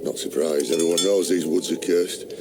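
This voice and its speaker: A man's voice: low voice, steady tone, normal speed, background noise, natural voice. A man playing a jittery rustic woodsman. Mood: teasing. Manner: uneasy, rustic dialect speech. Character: superstitious male rural woodsman, jittery. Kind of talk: radio drama acting.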